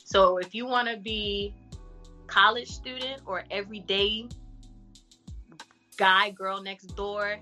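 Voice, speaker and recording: A woman, an actress and artist, giving frank, animated advice in a casual podcast interview. She says she has a deep voice for a woman.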